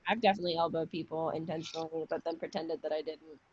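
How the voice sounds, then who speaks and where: A woman's voice: deep voice, fairly even intonation, fast, breathy edge. A woman talking casually and informally in a group conversation. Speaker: podcast cast member. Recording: dull lo-fi audio.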